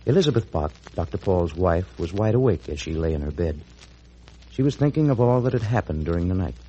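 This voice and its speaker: A vintage radio announcer, an American man with a low, husky voice. He reads scripted copy with lively swings in pitch, in classic 1940s broadcast style. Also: brisk, muffled recording. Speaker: announcer and narrator. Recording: old 1940s radio recording.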